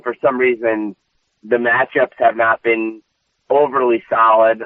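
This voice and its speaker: A high-energy man with a booming voice, co-hosting a casual wrestling recap and swearing freely. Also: no room sound. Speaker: podcast co-host. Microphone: phone call. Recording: dry tinny phone line.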